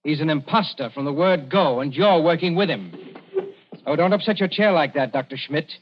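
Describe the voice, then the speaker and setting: An actor playing a shrewd, composed young colonial official in a 1940s radio drama, speaking in a measured, dryly ironic way. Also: male voice, a little high, animated, a little hiss or hum, close to an everyday voice. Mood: accusing. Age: young adult. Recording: dull, muffled 1940s radio recording.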